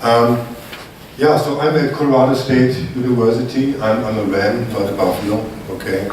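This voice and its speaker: A man's voice: mid-range voice, fairly even intonation, normal speed, a little mellow, slight background noise. A man from Germany with a strong German accent gives a conference lecture as a research scientist and keeps checking in with the audience with "okay?". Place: conference hall. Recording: distant mic in an echoey hall.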